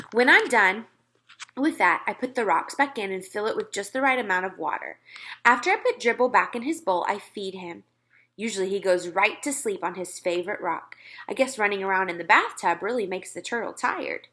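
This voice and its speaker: A woman storyteller narrating a children's book expressively. Her voice is bright and crisp in feel, with a lively, wide-swinging pitch. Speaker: read-aloud storyteller.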